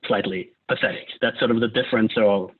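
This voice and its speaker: A man's voice: on the low side, fairly even intonation, a little fast. A man from Austria, a climate economist, explaining policy in a loose lecture style, often checking in with "right?". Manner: casual, frequent "uh" and "right?" tags. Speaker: climate economist leading a discussion. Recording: tinny phone-like line.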